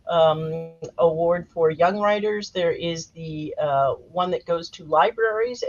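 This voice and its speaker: A woman, an author, speaking informally on a panel in dull, lo-fi audio. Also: lowish voice. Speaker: author and Halloween historian, panelist.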